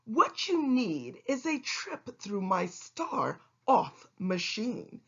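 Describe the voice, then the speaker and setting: A sly, boastful storybook salesman, acted out by a woman in rhyme. The patter is smooth and swooping, with a slightly husky edge. The recording is dull and lo-fi. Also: mid-range voice, very animated, takes it slowly, exaggerated voice. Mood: sly, reassuring. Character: sly, fast-talking male salesman peddling machines. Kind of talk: rhyming storybook read-aloud.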